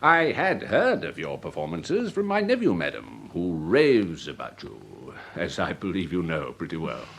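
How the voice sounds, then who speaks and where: An actor playing a courteous elderly English army major with an upper-class accent, urbane and formal, in a period radio drama. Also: male voice, low-pitched, huge pitch swings, unhurried, creaky, hardly any pauses, fairly bright tone, slight background noise, full character voice. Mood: smooth flattery. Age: older.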